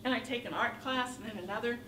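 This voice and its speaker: A woman in her sixties from Northern California telling a wry, animated comic monologue on a theater stage. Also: fairly high pitch, fast talker. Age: 60s. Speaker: solo performer. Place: theater stage with live audience. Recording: echoey room from far off, noisy.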